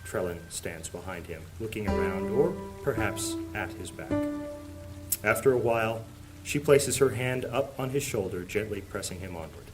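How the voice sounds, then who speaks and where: Low-voiced solemn male fantasy narrator. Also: rough recording. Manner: solemn, dramatic storytelling. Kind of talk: scripted fantasy audio drama narration. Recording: loud guitar music under voice.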